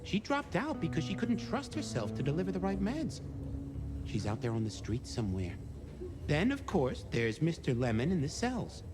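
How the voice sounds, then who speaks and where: A man's voice: a little high, huge pitch swings, moderate pace. An actor playing a flirtatious, theatrical male police records clerk in a video game, coy and playfully helpful, with music behind him. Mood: intrigued, informative. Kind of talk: video game dialogue acting.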